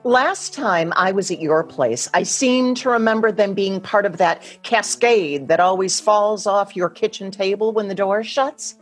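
A woman acting in a radio play as a sentimental grown daughter who clings to keepsakes and pleads haltingly. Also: medium pitch, close to an everyday voice. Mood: wistful. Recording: music behind, recorded remotely in one take.

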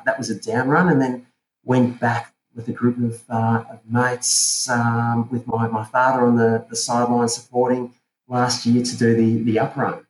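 A man from South Africa, a podcast guest talking casually and hesitantly, with many fillers and restarts. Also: mid-range voice. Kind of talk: casual interview chat. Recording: roomy with faint ambient noise.

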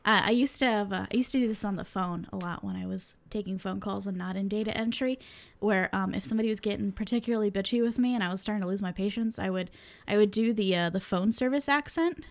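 A woman's voice: mid-range voice, quick pace, creaky. A young woman co-hosting a podcast, chatting casually with lots of fillers and laughs, swearing freely. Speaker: podcast co-host.

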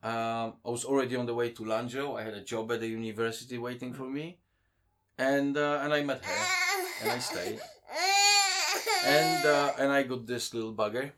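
A man, a guest in a casual interview who talks with many pauses, ums and restarts. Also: medium pitch, steady tone, fairly bright tone. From Poland.

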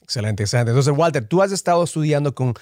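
Man, slightly high pitch, dry sound, casual podcast co-host, halting, rephrasing. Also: expressive, a little mellow, no room sound. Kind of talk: casual conversational hosting. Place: remote call.

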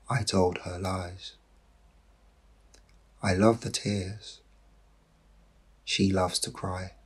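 Very slow, husky-voiced man reading poetry. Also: low-pitched. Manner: measured delivery in short, separated phrases. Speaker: poet reading own poem.

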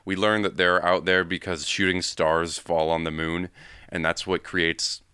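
A man co-hosting a podcast, explaining films in a casual, measured way with asides. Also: sits low, little pitch movement, sharp tone, smooth, dry recording, clean studio sound. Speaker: podcast co-host. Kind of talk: casual film discussion.

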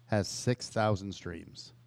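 A man's voice: on the low side, takes it slowly, vocal fry. A man co-hosting a podcast, introducing songs in loose, slangy casual talk, with music playing behind him. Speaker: podcast co-host. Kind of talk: casual banter, presenting songs.

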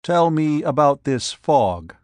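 A man voicing a calm, emotionless doctor who asks short, quiet questions. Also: on the high side, slow, no room sound, pitched above the performer's own voice. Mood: probing. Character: calm, cold male doctor-investigator.

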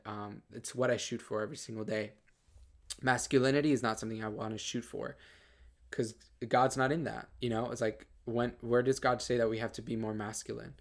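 Earnest soft-voiced man giving testimony. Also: medium pitch. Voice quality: soft, somewhat feminine-sounding voice. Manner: earnest, lots of fillers and repeated starts. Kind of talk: casual personal testimony.

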